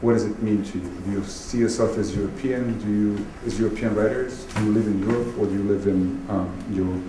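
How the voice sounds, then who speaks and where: A man from Bosnia, a native Bosnian speaker, moderating a panel discussion in halting, reflective phrases. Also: lowish voice, fairly even intonation. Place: public event room, recorded at a distance. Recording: distant echoey hall, clearly noisy.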